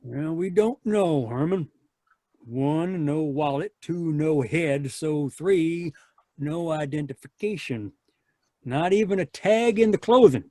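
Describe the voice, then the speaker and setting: A man plays an easygoing country sheriff in a radio play. He hems and hesitates slowly in a slightly high male voice, folksy throughout. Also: animated, dark tone, dry recording. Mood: folksy, hesitant. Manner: halting, hemming. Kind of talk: old-time radio drama acting.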